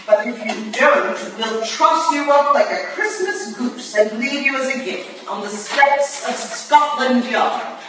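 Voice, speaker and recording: Woman playing a commanding Victorian lady detective, echoey hall. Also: fairly high pitch. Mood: menacing, imperious. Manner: formal, clipped, imperious, coolly analytical. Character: shrewd, commanding Victorian female detective, older mentor. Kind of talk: staged reading of a period drama. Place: live staged reading in a roomy indoor venue. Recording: echoey hall from far off, noisy.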